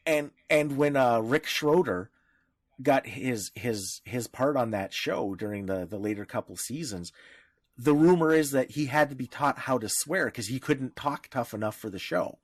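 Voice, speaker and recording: A man's voice: medium pitch, lively intonation, moderate pace, no room sound. A man with a mid-range voice talks casually as a podcast co-host. His delivery is blunt and irreverent. The sound is dry, with hardly any room in it. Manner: blunt, irreverent, freely profane.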